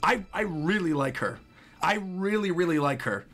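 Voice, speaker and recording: A man's voice: high-pitched, expressive, moderate pace, fairly bright tone. A young man giving casual live reaction commentary as a streamer, effusive and often repeating himself. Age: young adult. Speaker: streamer reacting to game trailers. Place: small furnished room at home. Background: game trailer music.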